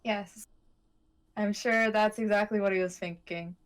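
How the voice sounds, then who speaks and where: A woman co-hosting a podcast, chatting casually about anime in a halting, wry way. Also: mid-range voice, fairly even intonation.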